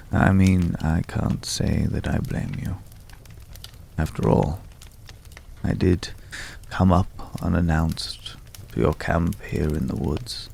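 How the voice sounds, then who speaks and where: A man acting a mysterious, courteous stranger in an ASMR roleplay, speaking in a hushed, measured way with lingering pauses and a deep, gravelly voice. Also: a little hiss or hum, heavily acted. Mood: coy, courteous. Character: mysterious, courteous male stranger visiting a hunter's night camp. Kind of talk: ASMR roleplay, slow dramatic monologue.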